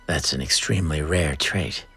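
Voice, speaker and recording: An actor playing a grave, cryptic man in an animated fantasy series, speaking in a dry, deliberate way. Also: very deep voice, husky, faint music behind, full character voice.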